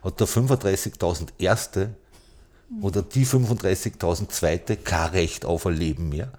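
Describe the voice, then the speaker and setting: A man's voice: low voice, moderate pace, darkish tone. A man with an Austrian accent in a casual political discussion, speaking in a blunt, indignant, colloquial way. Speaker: podcast panelist.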